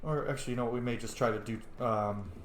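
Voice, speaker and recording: A man's voice: mid-range voice, fast talker, slight background noise. Roomy, casual man doing gaming commentary. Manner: informal, swearing, thinking aloud. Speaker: gaming channel host. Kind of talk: casual let's play commentary with roleplay.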